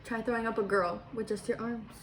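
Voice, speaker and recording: A woman's voice: a little high, steady tone, a little fast, reverberant, very noisy. A teenage girl, the daughter, teasing and talking back in a casual chat. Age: teens.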